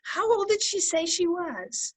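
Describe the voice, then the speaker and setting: Woman as practical comedy wife, piping high voice, slow delivery, polite, gently correcting, old-time radio style. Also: big pitch swings, exaggerated voice. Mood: pleasant, practical. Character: patient, prim, practical wife of a census taker.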